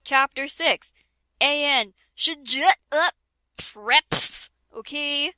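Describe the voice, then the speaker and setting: A woman reads a parody story aloud in a slow, unhurried way and keeps stopping for jokes and giggles. Her voice sits a little high. The sound is dry and close. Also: pushed and bright, no room sound. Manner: giggly, breaks off for joking asides. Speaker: reader of a parody fan fiction. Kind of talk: casual comic dramatic reading.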